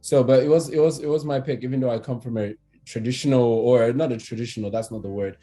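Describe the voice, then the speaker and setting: A man from Cameroon in his thirties, an immigration attorney speaking as a podcast guest, relaxed and hesitant with many fillers and restarts. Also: mid-range voice, fast talker, soft background music. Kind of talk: conversational podcast interview.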